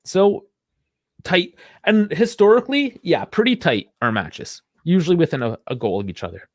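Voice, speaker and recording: A man's voice: a little high, expressive, normal speed, dry recording. Chatty man on a dry mic. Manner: chatty, rambling, laughs often. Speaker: podcast co-host. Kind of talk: casual sports chat.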